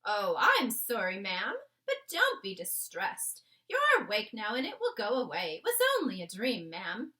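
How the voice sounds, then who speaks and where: A woman's voice: mid-range voice, huge pitch swings, a little slow, hardly any pauses, bright, forceful tone, exaggerated voice. A woman voicing an oldish royal maid in a storybook, deferential and solicitous. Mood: concerned, soothing. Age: older.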